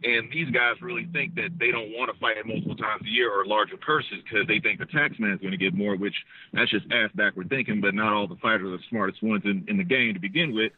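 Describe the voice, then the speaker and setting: A man calling in by phone while out on a walk outdoors, talking quickly in a casual, analytical way. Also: fairly low pitch, husky, no room sound. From United States. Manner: fast, conversational, analytical. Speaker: caller. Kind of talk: phone call-in. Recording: tinny dry phone line.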